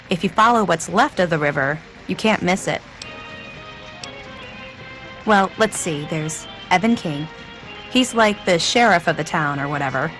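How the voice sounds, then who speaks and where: Lowish-voiced, casual townswoman. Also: fairly bright tone, rough recording. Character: casual, worried townswoman asking a stranger a favour. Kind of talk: video game character acting.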